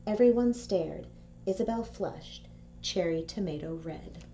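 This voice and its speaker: A woman's voice: fairly low pitch, measured pace, mellow. Woman presenter, slightly dull noisy recording. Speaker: school staff presenter. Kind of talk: video announcements and picture-book read-aloud. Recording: dull audio with faint noise.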